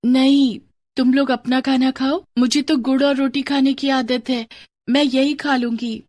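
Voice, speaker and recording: A woman's voice: high-pitched, little pitch movement, unhurried, a little mellow, dry recording, studio quality, voice pushed up. A woman voicing a humble, earnest poor schoolgirl in a storybook tale, polite and plain-spoken. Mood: quietly determined. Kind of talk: storybook character dialogue.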